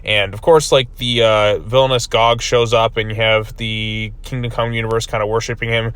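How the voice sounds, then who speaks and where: A man's voice: fairly low pitch, flat delivery, normal speed, a bit bright, clear, resonant tone, no echo. Rambling man reviewing comics. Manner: rambling, many fillers and "like I said". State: recovering from a lost voice. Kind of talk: casual video review.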